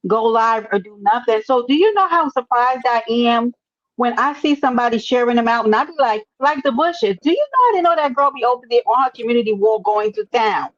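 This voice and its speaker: A woman's voice: a little high, lively intonation, fairly quick, dry recording, highs cut off. A woman with a New York accent hosting a casual livestream chat, calling people baby and honey as she talks. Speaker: livestream host.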